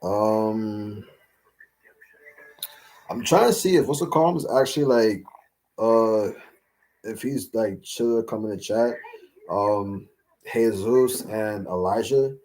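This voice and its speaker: Rambling young man with a slightly raspy voice. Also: fairly low pitch, takes it slowly, pauses often, a little mellow, poor audio. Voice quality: voice going slightly raspy from talking all day. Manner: rambling, heavy on fillers and slang. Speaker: livestream host answering chat. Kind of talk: casual livestream Q&A chat.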